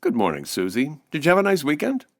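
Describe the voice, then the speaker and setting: An actor playing a dry-witted, brisk male boss in an old-time radio detective comedy. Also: fairly high pitch, huge pitch swings, no room sound, full character voice. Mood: puzzled.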